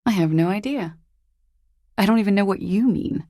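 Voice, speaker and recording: A woman playing a jaded, evasive young disco dancer in a video game, talking in a languid, wry and guarded way with trailing pauses. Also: mid-range voice, expressive, warm tone, no echo, studio quality, natural voice. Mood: evasive, measured. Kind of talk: video game character dialogue.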